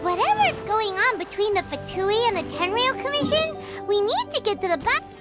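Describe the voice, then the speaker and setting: A woman voicing a tiny, excitable fairy companion in a video game, with a squeaky, childlike voice. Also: normal speed, over loud music. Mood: worried. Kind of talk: scripted video game dialogue.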